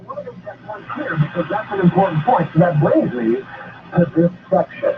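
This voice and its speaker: A man's voice: high-pitched, wildly swooping pitch, normal speed. A man preaching an impassioned sermon, emphatic and repeating phrases, heard over shortwave radio. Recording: muffled, very noisy shortwave radio broadcast.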